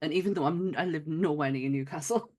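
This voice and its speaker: A woman's voice: sits low, a little fast, a little mellow. A woman with a light Wearside accent from north-east England, co-hosting a podcast in giggly, rambling casual chat. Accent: light Wearside (Sunderland–Durham). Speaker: podcast co-host.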